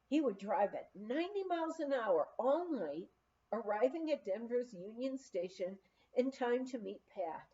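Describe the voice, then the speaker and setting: A woman with a fairly high, mellow voice reads a memoir aloud. Her storytelling is expressive, with plenty of rise and fall in the melody. The recording is a little dull. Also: measured pace. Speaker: audiobook reader. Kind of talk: reading a memoir aloud, scripted narration.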